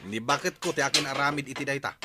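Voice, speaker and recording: A man's voice: medium pitch, fast talker, background noise, close to an everyday voice. A man playing a grumbling, thrifty husband who scolds in exasperation in a comedy audio drama. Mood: weary, dismissive. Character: grumbling, thrifty husband dreading debt over birthday.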